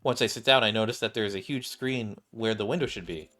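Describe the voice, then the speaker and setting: A man's voice: on the low side, quick pace, a bit bright. A man reading video game dialogue aloud as a streamer, acting out parts and sometimes stumbling over lines and rereading them. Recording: music playing behind the voice.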